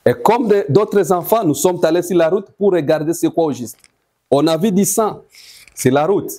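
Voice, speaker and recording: Man, Congolese accent, high pitch, soft dark tone, deliberate eyewitness storytelling. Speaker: testifying massacre survivor. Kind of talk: spoken eyewitness testimony.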